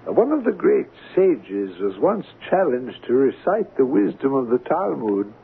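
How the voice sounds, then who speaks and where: An actor in a 1970s radio drama playing a gentle, learned older man, a philosopher with a gravelly voice and measured manner. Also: medium pitch, sing-song. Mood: serene, wise. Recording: muffled, noisy old radio broadcast recording.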